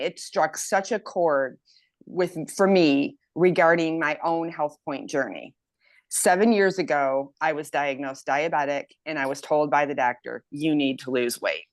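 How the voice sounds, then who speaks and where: Low-voiced woman in her fifties. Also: no room sound. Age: 50s. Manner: enthusiastic, reads quotes aloud. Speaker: health program member. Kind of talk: casual group chat testimonial.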